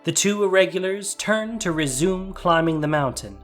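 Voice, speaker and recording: Audio drama narrator, expressive mid-pitched delivery, light music underneath. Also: normal speed. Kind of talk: scripted dramatic story narration.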